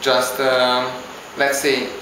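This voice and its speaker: Halting man, echoey. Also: mid-range voice, completely flat delivery, noisy background. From Hungary. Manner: halting, searches for words. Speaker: interviewee, visiting traveler. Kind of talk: casual spoken interview answers. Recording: very echoey with clear background ambience.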